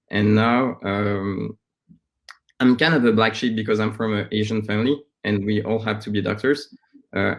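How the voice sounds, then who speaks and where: A man in his thirties from France with a French accent, giving halting, conversational answers as a startup founder being interviewed. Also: fairly low pitch. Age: 30s.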